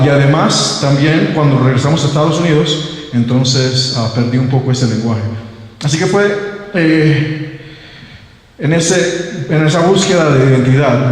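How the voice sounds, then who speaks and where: Young man, from Panama, English native speaker, hesitant lecturer full of fillers, middle-pitched voice, very echoey hall with long reverb. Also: a little mellow. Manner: hesitant, many fillers and restarts. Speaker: linguist presenting at a seminar. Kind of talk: academic presentation. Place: seminar room.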